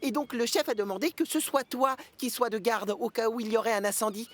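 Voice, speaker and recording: A woman's voice: on the high side, a little fast, natural voice. A woman playing a friendly, cheerful young tank engine in a children's cartoon, earnest and encouraging. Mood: upbeat, insistent.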